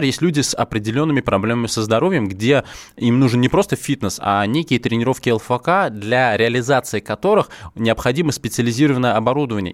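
A man's voice: mid-range voice, no echo. Man hosting a dry-sounding radio show. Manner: calm, lectures on fitness. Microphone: studio microphone.

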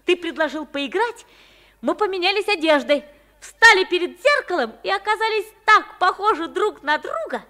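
A woman's voice: very high voice, big pitch swings, normal speed, pushed and bright, full character voice. A woman playing a bold, plain-spoken pauper boy in an old radio play, frank and cheeky. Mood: coaxing. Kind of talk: Soviet radio play acting.

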